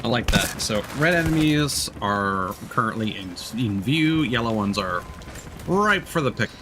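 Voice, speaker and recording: A man's voice: mid-range voice, very animated, unhurried, noisy background. A man streaming a game, giving casual, offhand commentary as he thinks aloud. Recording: game music behind the voice.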